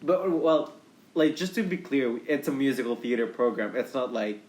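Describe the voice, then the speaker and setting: A young man co-hosting a casual podcast chat, talking haltingly with lots of restarts and fillers. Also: medium pitch, animated, darkish tone. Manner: halting, restarts often, many "like"s. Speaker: podcast co-host. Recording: echoey room.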